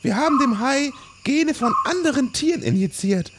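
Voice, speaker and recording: Man putting on a high-pitched earnest woman scientist. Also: expressive, normal speed, heavy background noise. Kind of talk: parody film dialogue.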